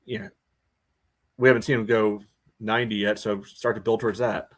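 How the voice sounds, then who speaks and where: A man co-hosting a sports podcast, giving casual soccer analysis in loose, halting, conversational phrases. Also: fairly low pitch, level tone.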